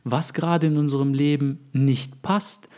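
A man hosting a podcast, a little hoarse from a cold, explaining his ideas at length with many pauses and fillers. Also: a little high, steady tone, mellow. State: under the weather, voice a bit hoarse. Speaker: podcast host. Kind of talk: casual podcast chat.

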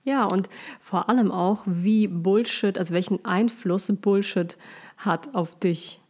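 A woman's voice: mid-range voice, slow, a little mellow, slightly rough. A woman co-hosting a casual podcast, summing up points with some hesitant restarts. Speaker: podcast co-host.

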